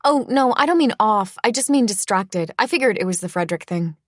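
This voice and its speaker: A woman's voice: medium pitch, animated, fairly quick, no echo, studio quality, pitched above the performer's own voice, natural voice. A woman voicing a caring, upbeat young female best friend in a breezy, reassuring manner. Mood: concerned.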